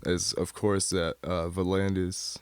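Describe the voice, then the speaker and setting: A man's voice: deep voice, level tone, unhurried, clean tone, no room sound. An American man from Michigan speaking as a guest in a casual interview, rambling in a laid-back, slangy way. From Michigan, United States. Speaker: guest artist. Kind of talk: casual studio interview chat.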